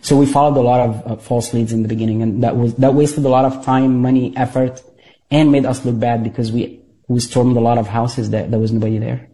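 Guy, dark mellow voice, stops and repeats himself a lot. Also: mid-range voice, little pitch movement, low-quality recording. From Egypt. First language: Arabic. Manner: halting, repeats words, many fillers. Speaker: guest, former intelligence operative. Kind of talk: interview storytelling.

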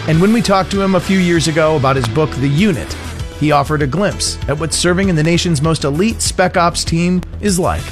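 A man's voice: on the high side, fairly quick, over music. A man hosting an interview, reading prepared setups and then prompting his guest to tell stories. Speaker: interview host. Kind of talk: interview questions, prepared intro.

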